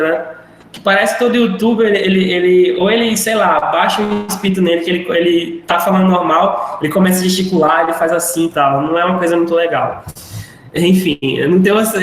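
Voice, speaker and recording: A male teacher wrapping up a live online class in a chatty, rambling way. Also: sits high. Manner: rambling, chatty, self-deprecating. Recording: very echoey room, faint noise.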